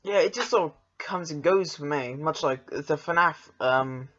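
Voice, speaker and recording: A man's voice: on the high side, unhurried, a bit bright, lo-fi sound. A young man with an English accent, chatting casually as a podcast host in a hesitant, self-deprecating way.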